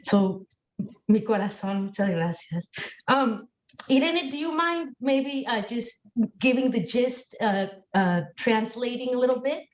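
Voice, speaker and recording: A woman moderating an online panel, halting and full of fillers as she thanks the speaker and moves between Spanish and English. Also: on the high side, expressive. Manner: halting, many fillers, appreciative. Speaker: event moderator. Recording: roomy echo.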